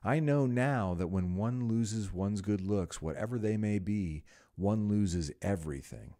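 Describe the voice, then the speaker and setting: A man's voice: low voice, very animated, normal speed, a little mellow. A man voicing an anguished young man, vain and afraid of growing old, who pleads melodramatically. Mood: bitter.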